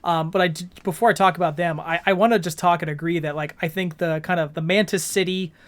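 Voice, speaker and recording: A male podcast co-host with a somewhat high-pitched voice. He talks in a casual, explanatory way, using everyday colloquial language as he discusses video games. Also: fairly even intonation, fast.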